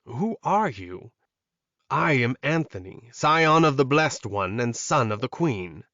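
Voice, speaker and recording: A man's voice: medium pitch, very animated, takes it slowly, a bit bright, no echo, highs cut off. A man voicing a boastful, haughty young royal boy in a fantasy audiobook. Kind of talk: audiobook character dialogue.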